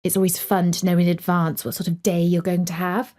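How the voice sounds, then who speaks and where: A woman's voice: on the low side, flat delivery, fairly quick, darkish tone, natural voice. A woman voicing a harried, superstitious mother in a children's story, exclaiming dramatically. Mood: wistful.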